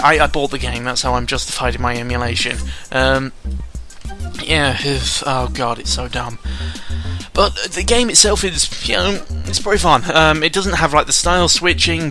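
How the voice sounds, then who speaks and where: A man's voice: medium pitch, normal speed, sharp tone, soft background music. A man giving casual, rambling video game commentary in an opinionated, sweary and exasperated manner. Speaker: gaming vlogger.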